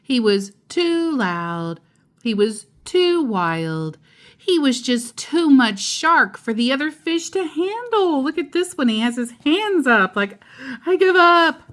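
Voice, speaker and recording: A woman reading a children's picture book aloud in an expressive, playful way, with chatty asides. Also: high-pitched, slow pace. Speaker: storytime host and narrator.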